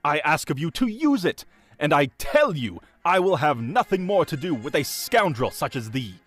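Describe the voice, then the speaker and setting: A man playing a pompous, imperious archbishop in a period audio drama, haughty and contemptuous in his deliberate delivery. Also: fairly high pitch, sing-song, a bit bright, soft background music. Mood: cold contempt.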